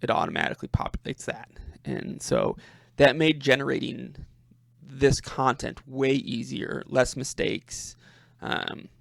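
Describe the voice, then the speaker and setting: A man giving an informal screen-share walkthrough as a presenter, speaking haltingly and thinking aloud, often repeating his words. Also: mid-range voice, animated, gravelly, fairly bright tone, dry recording. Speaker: presenter demonstrating a personal coding project.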